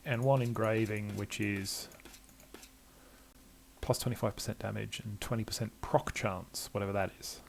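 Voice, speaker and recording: An older man giving casual let's-play commentary, musing to himself and reading game text aloud in a low-key way. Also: steady tone, normal speed, gravelly, slight background noise. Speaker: game streamer.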